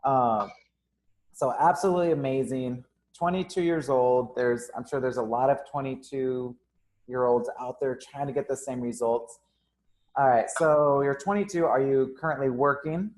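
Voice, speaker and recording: A man's voice: medium pitch, measured pace, a little mellow. A young man who owns a gym, hosting a casual live interview with frequent ums and uhs. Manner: casual, filler-heavy, upbeat. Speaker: gym owner and host.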